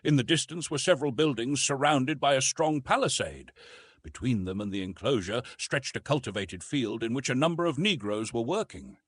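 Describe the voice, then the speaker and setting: A man's voice: mid-range voice, lively intonation, moderate pace, husky, no echo. A man giving scripted audiobook narration of a classic adventure novel.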